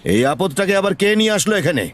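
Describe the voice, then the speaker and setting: Man acting an irate, high-pitched blustering gentleman. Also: big pitch swings, a little fast. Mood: rude, contemptuous. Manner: harsh, blustering, repetitive. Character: rude, irate, suspicious wealthy man. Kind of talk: audio story acting. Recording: noisy background.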